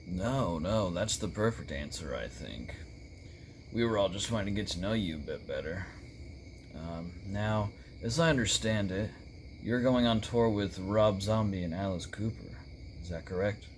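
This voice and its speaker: Man playing a low-voiced shock-rock talk show host. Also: animated, normal speed, slight background noise. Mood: measured, curious. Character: impression of a dark male shock-rock star hosting a show.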